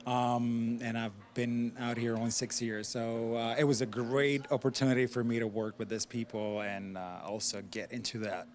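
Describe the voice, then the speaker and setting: A man from Greece with a Greek accent, an actor talking earnestly in a casual interview, pausing often on uh. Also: medium pitch, level tone, darkish tone. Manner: earnest, measured, with frequent uh pauses.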